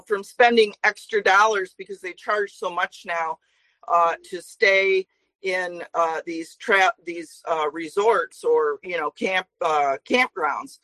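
A woman in her forties speaking as a guest, earnest and talkative with many fillers. Her voice is bright and forward, recorded dry with no room sound. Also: medium pitch, dry recording, rough recording. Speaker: guest, traveling minister. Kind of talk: interview guest talk.

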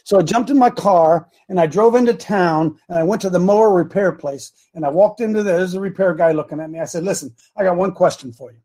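An American man with a raspy voice hosting a religious talk show, chatting casually in a folksy, animated way and telling rambling personal stories. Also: high voice, quick pace, few pauses. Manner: folksy, animated, repeats phrases for emphasis.

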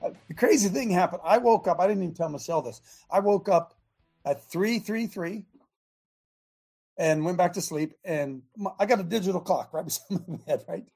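A male talk show host with a scratchy, husky voice worn by chronic laryngitis and a lingering cough. His tone is dark and mellow. He rambles loosely, then drives his points home with fervent, emphatic preaching. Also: high voice, moderate pace, soft background music. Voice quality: raspy, scratchy voice. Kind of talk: fiery preaching talk radio.

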